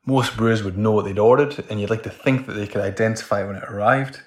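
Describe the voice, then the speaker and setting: A Scottish man from Scotland, a guest answering interview questions in a plain, measured way. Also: on the low side. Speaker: guest, operations manager.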